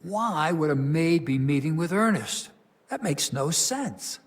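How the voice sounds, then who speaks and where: A grave, stern medieval abbot. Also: male voice, a little high, lively intonation, a little slow. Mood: incredulous. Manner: grave, authoritative, formal. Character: stern, burdened abbot of a plague-struck medieval monastery. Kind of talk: dramatic video game cutscene acting.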